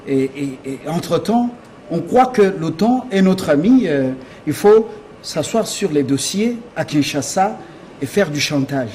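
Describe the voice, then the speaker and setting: A man's voice: a little high, expressive, unhurried, a little mellow, slight background noise. A man hosting an interview, probing his guest with pointed questions and interjections. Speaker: interviewer host.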